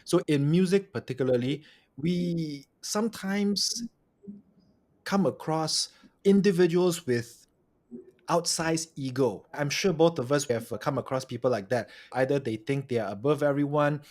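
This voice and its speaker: A man's voice: fairly high pitch, a little slow, a little mellow. Inquisitive man hosting a chat. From Malaysia. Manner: conversational and inquisitive, follows up with questions. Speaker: podcast host. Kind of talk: casual interview conversation.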